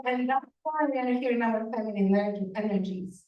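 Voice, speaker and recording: A woman who works as a personal development coach, giving a grateful acceptance speech over a video call in a warm, effusive way. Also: on the high side, fairly quick. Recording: echoey distant lo-fi call audio.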